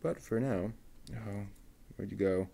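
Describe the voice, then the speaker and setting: A man's voice: on the low side, a little slow, gravelly, warm tone, a little hiss or hum. A man thinking aloud and muttering to himself as he puts together a model kit, reading out part numbers in a casual running commentary. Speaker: show host.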